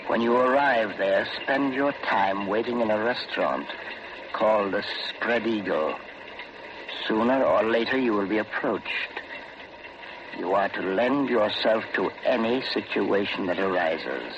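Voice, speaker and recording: Slow, grave ghost, on noisy old radio. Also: male voice, lowish voice, lively intonation. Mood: stern, commanding. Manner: slow, grave, commanding, deliberate. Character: stern, solemn male ghost walking between two worlds. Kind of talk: 1940s radio horror acting. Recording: muffled, very noisy vintage radio broadcast.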